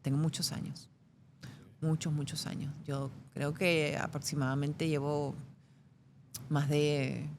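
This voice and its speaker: A middle-aged Venezuelan woman with a Venezuelan Spanish accent, speaking thoughtfully as an interview guest with frequent pauses and restarts. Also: little pitch movement. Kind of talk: reflective interview conversation. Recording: faint background rumble.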